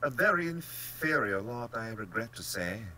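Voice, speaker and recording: Casting: smug, genteel storybook general, cold-blooded and pompous, forceful tone with big swoops, faint hum behind. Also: male voice, mid-range voice, big pitch swings, normal speed, pitched above the performer's own voice. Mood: blandly menacing. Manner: blandly polite, pompous, theatrically emphatic. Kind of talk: dramatic audiobook character acting.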